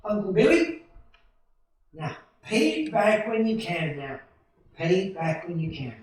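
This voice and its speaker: Man acting an earnest, high-voiced young man, echoey. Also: very animated, a little slow. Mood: urgent, reassuring. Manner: stammering, folksy, emphatic. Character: earnest, excitable, self-doubting young small-town family man. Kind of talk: 1940s radio play acting. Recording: distant, very echoey stage hall.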